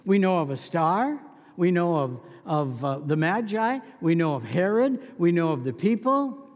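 A man's voice: high-pitched, lively intonation, a little slow, long reverb tail. A man preaching a sermon as pastor, telling stories in a folksy, conversational way.

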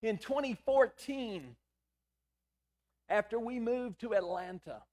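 A middle-aged man with a hoarse, raspy voice, preaching a sermon in a conversational, storytelling way with quoted dialogue. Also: very high-pitched, animated, slow pace, darkish tone. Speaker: pastor.

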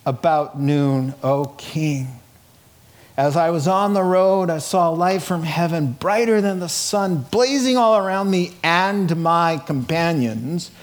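A man's voice: fairly high pitch, takes it slowly. A middle-aged man preaching a sermon in a conversational, informal way, animatedly telling stories and acting out dialogue. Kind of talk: sermon, reading scripture and retelling it in colloquial, dramatized asides.

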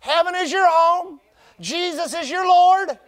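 A man's voice: extremely high pitch, fairly bright tone. A man preaching a sermon as pastor, drawing out key phrases emphatically and prompting the congregation to repeat words back. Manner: call-and-response preaching, emphatic drawn-out phrases.

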